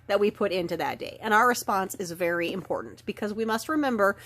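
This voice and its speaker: Gravelly-voiced woman with a head cold. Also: medium pitch, very animated, fast talker, noisy background. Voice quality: slightly gravelly, raw throat. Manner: soft spiritual talk with many ums and trailing phrases. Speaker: oracle card reader. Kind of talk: daily oracle card reading, chatty and unhurried. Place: indoor filming setup lit by ring lights.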